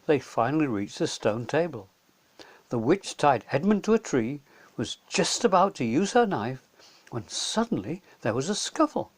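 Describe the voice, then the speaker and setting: Animated male children's storyteller. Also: on the high side, normal speed. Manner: simple, clear retelling for children.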